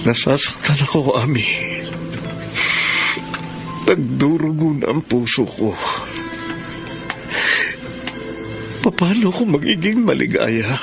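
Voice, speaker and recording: An actor playing a heartbroken, self-sacrificing jilted lover in a Filipino radio melodrama, solemn and emotive with dramatic pauses and a Filipino accent. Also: male voice, a little high, expressive, gravelly. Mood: anguished. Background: melodramatic background music.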